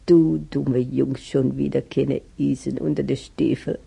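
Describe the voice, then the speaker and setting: A man playing an elderly German emigrant in a radio drama, reminiscing in a rambling, halting way with a German accent and husky, creaky voice. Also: medium pitch, flat delivery, mellow, exaggerated voice. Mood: amused, nostalgic. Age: older. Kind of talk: intimate radio drama monologue.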